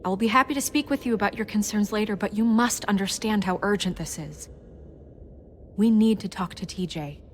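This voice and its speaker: A woman's voice: mid-range voice, a little fast, slight background noise, close to an everyday voice. A woman playing a firm, independent fairy-tale official in a video game, earnest and articulate.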